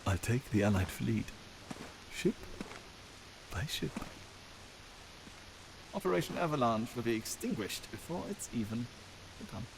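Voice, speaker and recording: A sadistic, gloating military villain for a video game. His delivery is slow and theatrical, with pitch that swings widely and taunting pauses between phrases. There is clear noise behind the voice. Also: very animated. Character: sinister gloating male Nazi general, theatrical and sadistic.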